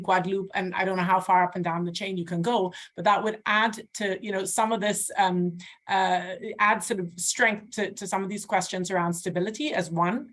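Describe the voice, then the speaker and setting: Assertive woman on a panel. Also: medium pitch. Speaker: development finance panelist. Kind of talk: panel discussion.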